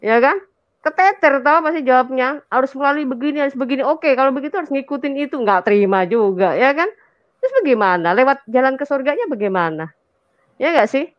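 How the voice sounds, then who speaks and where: A woman's voice: high voice, expressive, quick pace, no echo, highs cut off. An Indonesian woman in an impassioned, conversational religious discussion, emphatic and colloquial, repeating phrases and checking for agreement. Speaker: talk show speaker on religion.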